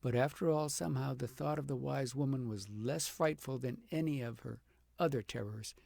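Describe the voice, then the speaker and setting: A man narrating a fairy tale aloud as an audiobook, with dramatic, deliberate phrasing that swells for emphasis and drops to a hush. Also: medium pitch, vocal fry, no room sound. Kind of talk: expressive storybook narration.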